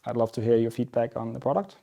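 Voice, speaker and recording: A man's voice: mid-range voice, lively intonation, fast talker, creaky, warm tone. A man from Denmark with a Danish accent, speaking informally as a product director on a webinar panel. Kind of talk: informal self-introduction on a webinar.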